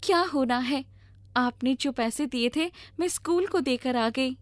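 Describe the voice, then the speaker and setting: A woman's voice: sits high, a little slow, voice pushed up. A woman voicing a scheming, resentful wife in a story, with a complaining, dismissive manner. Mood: evasive. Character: scheming, resentful young wife who lies and grumbles. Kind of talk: animated storybook dialogue.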